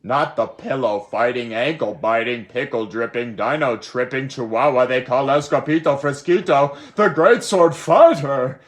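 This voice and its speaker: A storybook reader voicing a huge, blustering male dinosaur villain in a loud, dramatic way. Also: mid-range voice, close to an everyday voice. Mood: shrieking alarm. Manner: loud, dramatic, drawn-out name-calling.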